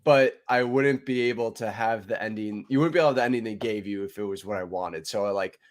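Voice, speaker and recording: A man's voice: on the low side, quick pace. A man with a stuffy, nasal voice from a cold, hosting a casual podcast chat and rambling with lots of fillers. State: congested from a cold. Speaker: podcast host.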